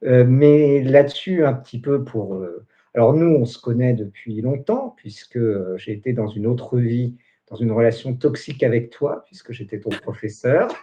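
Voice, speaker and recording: A man's voice: mid-range voice, normal speed, lo-fi sound. A man in his forties hosting a casual interview, joking and chatty, with plenty of fillers. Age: 40s. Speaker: host.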